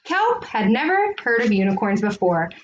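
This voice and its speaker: A woman host reading a children's picture book aloud in an expressive storytelling way, with drawn-out dramatic phrasing. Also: fairly high pitch, lo-fi sound.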